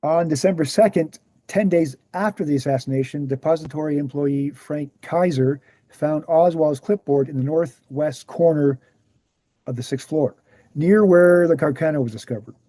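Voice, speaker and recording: Man acting as a mellow, dark-voiced earnest author-lecturer. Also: fairly high pitch, moderate pace, no echo, close to an everyday voice. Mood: measured, factual. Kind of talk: screenplay table read, explanatory lecture.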